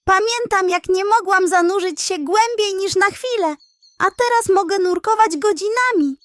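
Small young seal girl, voiced by a woman, bedtime tale. High pitch, timid, dreamy, quick to lose heart, close dry sound. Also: dry recording. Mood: wistful. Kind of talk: children's bedtime audio story.

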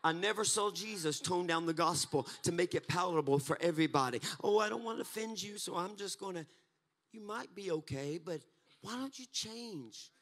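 Pastor in his 30s, high voice, fiery sermon, handheld mic on a church stage. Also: expressive, normal speed. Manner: fervent, rises to shouts, paces the stage. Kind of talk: energetic Pentecostal sermon. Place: church stage, mid-sized hall.

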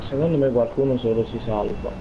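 A man's voice: medium pitch, fairly quick. A man speaking casually as a player in an online roleplay game. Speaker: player in a GTA roleplay session. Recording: clearly noisy game voice chat.

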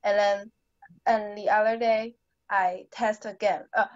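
A teenage high school girl talking in an online English lesson, halting and searching for simple words. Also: flat delivery, dry recording, highs cut off. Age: teens. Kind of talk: conversation practice in a lesson. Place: small indoor room at home. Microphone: laptop webcam on video call.

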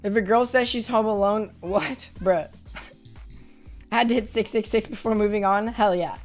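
A young woman in her twenties streaming live from a small bedroom, hoarse from yelling, bubbly and effusive as she thanks viewers over a headset mic. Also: medium pitch, faint music behind. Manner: bubbly, effusive, quick swings to excited squeals.

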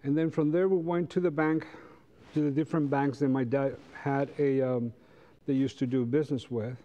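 A man's voice: medium pitch, little pitch movement, normal speed, dark tone, lo-fi sound. A middle-aged man with a Costa Rican accent and Spanish as his first language, teaching a church class in a conversational way with rhetorical questions. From Costa Rica. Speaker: Sabbath school teacher. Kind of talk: church lesson to a congregation. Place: large church sanctuary, standing before pews.

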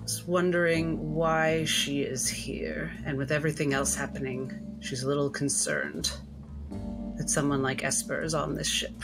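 A woman's voice: sits low, flat delivery, a little slow, creaky. A woman playing a tabletop game, describing her character's actions in a musing way. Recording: music under the voice.

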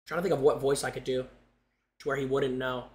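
A young man streaming a game on a headset, talking excitedly to his chat and yelling at big moments. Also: mid-range voice, fairly quick. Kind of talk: live game stream commentary. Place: small room indoors. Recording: game gunfire in background.

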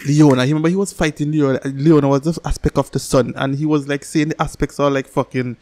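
A man's voice: on the high side, fast talker. A man in his thirties giving casual, slangy, profane reaction commentary as a video host, close on a desk microphone. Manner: slangy, profane, animated with hands. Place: small home room, indoors. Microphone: desk microphone on boom arm, close.

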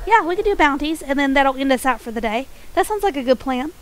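A woman streaming a game, chatting casually and softly, a little nasal, her voice pitched up, with small laughs between remarks. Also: animated, fast. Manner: soft-spoken, chatty, frequent small laughs; voice pitched up from her natural register.

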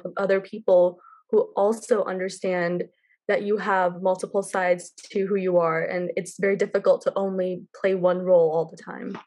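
A woman's voice: mid-range voice, a little mellow. A young woman student sharing a personal reflection over a video call from her room, earnest and full of fillers. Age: young adult. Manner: earnest, uses many fillers. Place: bedroom, indoors.

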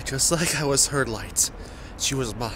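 An actor playing a grieving young male musician, who talks softly and tearfully, with choked breaths and small laughs. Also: mid-range voice, measured pace, noisy background, close to an everyday voice. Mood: grateful, hushed. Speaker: voice actor in an audio roleplay. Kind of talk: emotional audio roleplay acting. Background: loud music underneath.

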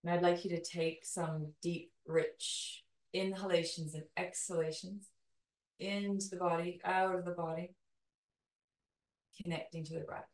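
Woman, meditation guide, roomy distant recording, even narrow intonation, slow soothing phrases, long pauses. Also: fairly low pitch. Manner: soothing, calming delivery. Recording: roomy echo, distant from mic.

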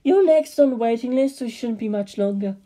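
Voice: medium pitch, fairly quick, dark tone, lightly acted. A performer voicing a polite female office receptionist with a sinister edge in a film script reading.

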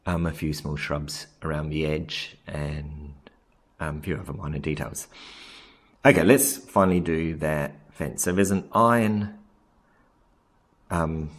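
A man casually narrating a software screen recording, thinking aloud with frequent ums and self-corrections. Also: very deep voice, breathy edge. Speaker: software tutorial presenter.

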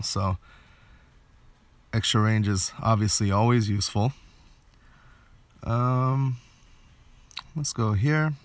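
A man's voice: lowish voice, dry recording. A man giving casual gameplay commentary, thinking aloud a little hesitantly as he plays. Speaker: game video creator.

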